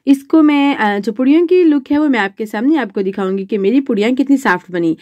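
A woman's voice: sits high, expressive, a little fast, warm tone, dry recording, lo-fi sound. A woman, chatting politely through a home cooking video as a mother and vlogger. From Pakistan. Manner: chatty, polite with frequent "janab" and "ji". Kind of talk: casual cooking voice-over.

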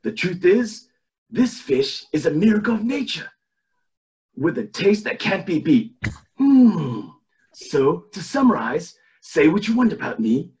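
A man plays a scheming old tycoon running for office, smug and oily. The voice is fairly high for a man and swoops theatrically as he reads a cartoon script. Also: sing-song, unhurried, darkish tone, poor audio. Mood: smarmy, oily. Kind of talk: amateur table read of a cartoon script. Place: indoors at home on a video call.

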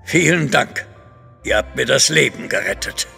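Very creaky, dignified nobleman. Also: mid-range voice, expressive, normal speed, sharp tone, in a large hall, faint music behind, exaggerated voice. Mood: grateful. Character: dignified, astonished male Russian grand duke. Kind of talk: German audio drama acting.

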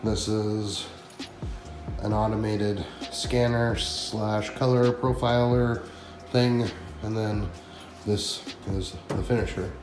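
A man in his thirties with a fairly low voice gives a chatty, excited walkthrough of his print shop on a handheld camera. His voice bounces around a big bare room, and music plays under him. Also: slow. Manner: excited, chatty and explanatory, thinking aloud. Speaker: print shop owner and vlogger. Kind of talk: casual walkthrough vlog. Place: large echoey print shop room with concrete floor. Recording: echoey room with music over it. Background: background music.

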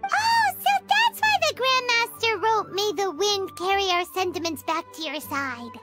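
A tiny floating fairy girl from an anime game. The voice is extremely high and squeaky, leaping around with bubbly excitement. Prominent music plays behind it. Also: measured pace. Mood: curious. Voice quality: squeaky, childlike. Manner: bubbly, exaggerated cartoon delivery. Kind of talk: anime video game voice acting. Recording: studio voice over game music.

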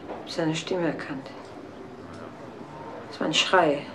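An actress playing a weary, discontented innkeeper's wife in a crime radio play. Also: female voice, sits low, takes it slowly. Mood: numb, grieving. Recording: distant, noisy old radio drama recording.

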